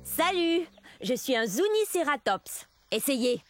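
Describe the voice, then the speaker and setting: A woman's voice: sits high, sing-song, slow pace, full character voice. A woman voicing a small, chatty, good-natured horned dinosaur who explains things to young viewers in a children's educational cartoon. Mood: friendly, explaining. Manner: explaining to young viewers, stretching out key words. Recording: music under the voice.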